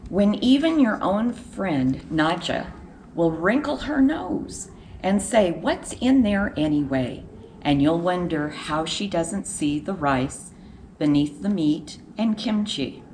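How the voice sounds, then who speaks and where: A woman reading a children's picture book aloud in a measured, expressive storytelling manner with deliberate pauses. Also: on the low side, slight background noise, poor audio.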